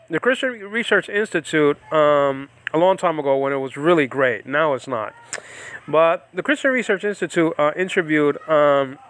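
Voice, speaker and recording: A man from the United States, hosting an informal Bible study in a halting, rambling way and lifting his voice when he reads scripture aloud. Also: fairly bright tone, smooth. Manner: rambling, halting, lifts pitch and volume when reading scripture. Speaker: Bible teacher and ministry host. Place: home in the Philippines.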